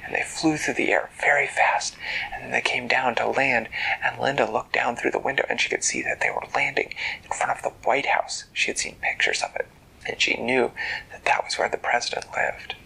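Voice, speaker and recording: Parent whispering a bedtime story, raspy and gravelly, kinda bright. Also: mid-range voice, expressive, poor audio. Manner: hushed, gentle, unhurried narration.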